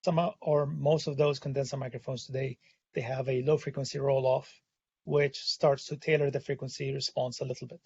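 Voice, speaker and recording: A man with a hoarse, gravelly voice, worn out from talking, walks through a technical topic in a webinar. He pauses often on "uh" and keeps a mid-pitched, steady delivery. The sound is dry and a bit dull. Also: no room sound. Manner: hesitant, many "uh" fillers. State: hoarse, has lost his voice. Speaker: webinar presenter. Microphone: studio condenser microphone.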